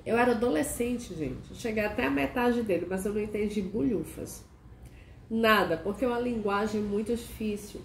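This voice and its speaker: A woman hosting a casual video chat. She sounds nasal and hoarse from a cold and keeps asking the viewer questions. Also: mid-range voice, normal speed. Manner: chatty, asks the viewer many questions. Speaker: archetype consultant and channel host. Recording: echoey room with faint noise.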